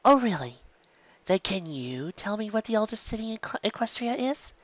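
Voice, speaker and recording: A grown-up pony schoolmistress, played by a woman, patient with a stern edge. She questions and explains in a measured, lively swinging intonation, in a dry storybook reading. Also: mid-range voice, no echo, dull audio, voice pushed up. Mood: gently stern.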